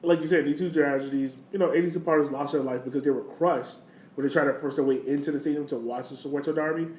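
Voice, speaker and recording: Higher-voiced man, echoey podcast recording. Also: steady tone, fast talker, a little mellow. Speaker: podcast host. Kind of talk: casual sports discussion. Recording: roomy echo, background noise.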